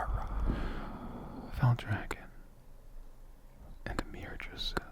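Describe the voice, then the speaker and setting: A man speaks in a slow, gravelly whisper for an ASMR video, close to the microphone. He stays in a dragon persona and rambles fondly and softly through viewer questions. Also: lowish voice. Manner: soft-spoken, rambling, fond.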